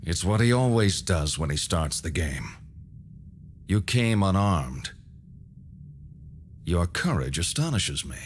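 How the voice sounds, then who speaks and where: An actor playing an old alchemist hermit in a video game, courteous and knowing, with measured, formal, cryptic delivery. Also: male voice, sits low, sing-song, slight background noise, exaggerated voice. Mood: grave, knowing. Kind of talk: video game cutscene voice acting.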